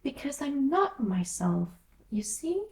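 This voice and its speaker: Woman as little storybook girl, polite, earnest, puzzled, slow pace, roomy echo. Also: sits high, big pitch swings, a little mellow, pitched above the performer's own voice, exaggerated voice. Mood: shy, confused. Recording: roomy echo, distant from mic.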